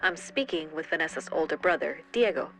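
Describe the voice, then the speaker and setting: A woman's voice: deep voice, expressive, a little fast, a bit bright, rough recording. An actress playing a female true-crime reporter who gives scripted, serious narration. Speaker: narrator and interviewer.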